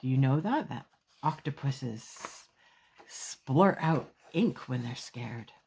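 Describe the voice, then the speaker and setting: A woman's voice: very deep voice, lively intonation, takes it slowly, creaky, dark tone, slight background noise. A woman storyteller reading a children's picture book aloud expressively, with emphatic phrasing and small asides to young listeners.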